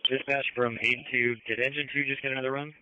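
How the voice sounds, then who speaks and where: A man's voice: mid-range voice, level tone, fast, bright, forceful tone. A man working as an emergency responder, giving terse call-ins over radio. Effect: emergency radio transmission. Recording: dull, noisy, clicking scanner radio.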